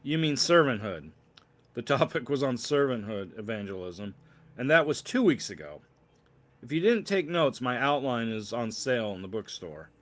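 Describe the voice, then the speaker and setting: A man's voice: mid-range voice, sing-song, normal speed, fairly bright tone. Male reader as young associate pastor: storybook character, formal, polished, a little pompous. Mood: correcting.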